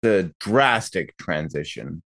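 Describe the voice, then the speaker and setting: A man's voice: deep voice, animated, slow pace, creaky, a bit bright. A man chatting casually as a podcast co-host and role-playing game player. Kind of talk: casual panel chat.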